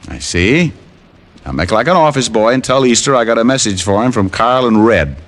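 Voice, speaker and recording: An American actor playing a wisecracking, tough private detective in an old-time radio drama, quipping in a wry, deadpan way as he narrates and trades lines. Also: medium pitch, brisk, lightly acted. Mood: cocky, needling. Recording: old radio recording with music.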